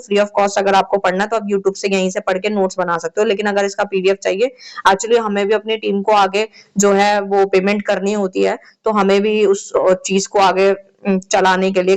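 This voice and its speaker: A woman teaching an exam-prep lecture in a chatty way, often checking in with her students, her voice a little sore. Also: mid-range voice. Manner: chatty, repeats "theek hai" often. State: sore throat.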